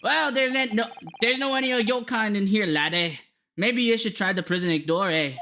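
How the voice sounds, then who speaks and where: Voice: mid-range voice, moderate pace, bright, forceful tone, a little hiss or hum, pitched above the performer's own voice. A streamer voicing a hostile male prison governor in a put-on Scottish accent, blunt and threatening. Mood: gruff.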